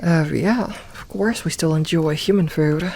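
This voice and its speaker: Soft-spoken, comforting werewolf woman, voiced by an actress. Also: sits low. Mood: explaining, playful. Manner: soft-spoken, reassuring, unhurried explaining with light humour. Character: gentle, comforting young female werewolf, playful self-styled lone wolf. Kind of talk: soft ASMR roleplay, speaking intimately to one listener.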